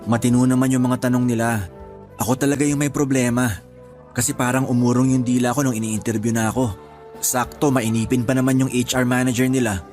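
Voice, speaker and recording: A man acting as a discouraged, bashful young boyfriend in a radio drama, with a hesitant, soft-hearted manner. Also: mid-range voice, close to an everyday voice. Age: young adult. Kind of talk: Tagalog radio drama dialogue.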